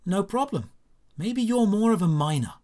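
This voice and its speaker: A man voicing a huge, muscular miner with a soft, friendly, easygoing manner in an audio drama. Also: sits high, expressive, normal speed, pitched above the performer's own voice. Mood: friendly, encouraging. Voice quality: oddly soft.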